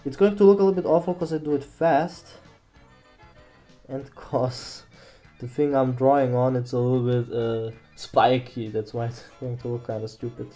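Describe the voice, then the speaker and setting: A young man with a German accent talks through a drawing tutorial in a casual, rambling way, often correcting himself and tossing in mild swearing. His voice sits at an ordinary mid pitch, picked up by a computer mic in a small room at home, with faint music underneath. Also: steady tone, moderate pace, warm tone. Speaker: art tutorial host.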